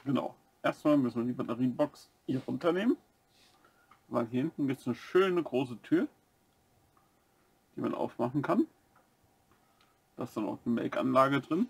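A man co-hosting a building-set review, explaining the features step by step in a relaxed casual way. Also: mid-range voice, normal speed, creaky, long pauses, breathy edge. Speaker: co-host of a brick-building review channel.

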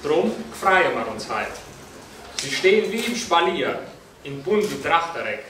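A man's voice: fairly high pitch, very animated, unhurried. A young man with a Banat Swabian accent reciting a rhyming dialect poem at a lectern, recorded from far off in a large hall. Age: young adult. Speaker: poetry reciter. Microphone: far camera. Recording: roomy hall from far off, noise.

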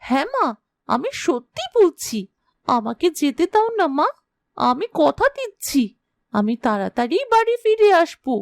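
A woman as a high, sweet, animated young girl. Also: unhurried, gravelly, no echo, exaggerated voice. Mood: pleading. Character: sweet, earnest, pleading young girl, a cherished daughter. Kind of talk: cartoon voice acting.

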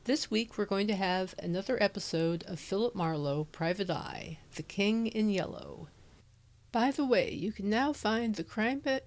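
Female podcast presenter, middle-aged, medium pitch, scripted intro, home office webcam setup. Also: a little mellow. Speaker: podcast host. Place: small home office, indoors.

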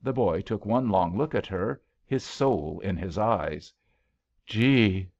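A man narrates an old-fashioned love story as an audiobook. His voice is on the low side with plenty of creak, and his pitch moves in a lively way. The recording is slightly dull and lo-fi. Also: a little slow. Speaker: audiobook reader.

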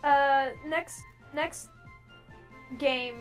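A woman's voice: sits high, steady tone, drawn-out words. A young woman chatting casually with friends as they play a game together. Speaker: player in a group gaming video. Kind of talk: casual gaming banter. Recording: game music behind the voice.

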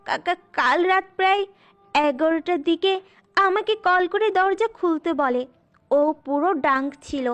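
A woman's voice: very high voice, a little slow, fairly bright tone, muffled recording, faint music behind. A woman voicing a frightened, anxious young wife, hesitant and stammering, in a melodramatic audio story. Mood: distraught, hesitant.

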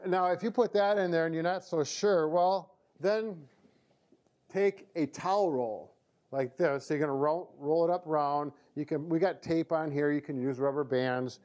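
A man's voice: fairly high pitch, normal speed, darkish tone. An American man in his fifties giving a casual, conversational instructional demonstration as a physical therapist. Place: indoor therapy room.

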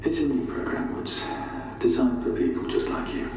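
A man's voice: medium pitch, normal speed, close to an everyday voice. A man playing a wary, skeptical interrogator in a game audio log, speaking with restrained, probing hesitancy. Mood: resigned. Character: wary, measured male interrogator, quietly skeptical. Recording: echoey hall from far off, noisy.

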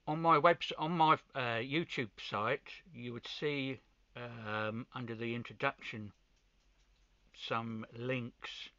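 A man giving an informal hands-on video demonstration, pausing between short phrases, with muffled sound. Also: medium pitch, bright, forceful tone, clear, resonant tone, no echo. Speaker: amateur radio hobbyist presenter.

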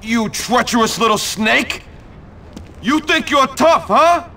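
An actor playing a hot-headed, threatening high-school gang rival in a video game, aggressive and emotional. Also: male voice, lively intonation, slow, bright, forceful tone, a little hiss or hum, exaggerated voice. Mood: enraged. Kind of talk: video game cutscene acting.

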